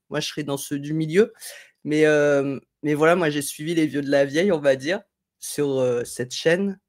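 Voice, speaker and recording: A woman hosting a casual live tutorial from home, reading chat comments in a chatty, digressive way, with a slight head cold. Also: deep voice. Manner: chatty, digressive, friendly, thinking aloud with fillers. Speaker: live stream host. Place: home room at a desk, indoors.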